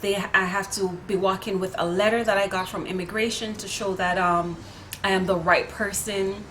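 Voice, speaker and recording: A woman's voice: mid-range voice, normal speed, a little hiss or hum. A woman with a Jamaican accent telling a personal story to camera outdoors in a casual, rambling vlog style full of fillers and restarts. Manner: chatty, animated, many fillers and restarts. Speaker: vlogger. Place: outdoors near neighbors.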